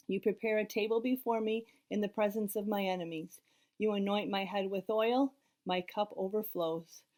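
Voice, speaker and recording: A woman addressing a congregation as a scripture reader, with a plain, measured delivery. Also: mid-range voice, a little mellow. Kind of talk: reading aloud and informal church greeting.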